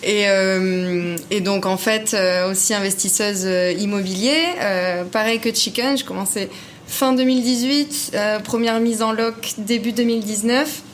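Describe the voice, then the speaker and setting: Woman in her 30s, a bit hoarse, relaxed podcast guest, faint hiss behind. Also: medium pitch, measured pace. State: slightly hoarse. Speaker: guest, property investor. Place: apartment rental abroad.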